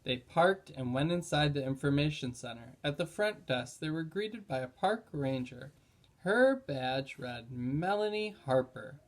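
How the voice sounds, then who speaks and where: A man's voice: on the high side, expressive, a little slow. A man narrating a children's chapter book in a read-aloud style.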